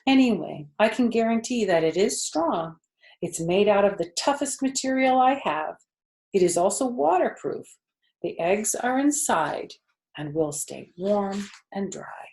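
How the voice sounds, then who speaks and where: A woman voicing an aging female spider for a children's storybook, weak and quiet, dignified and resigned. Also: mid-range voice, lively intonation, a little slow, creaky. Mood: gentle, assured.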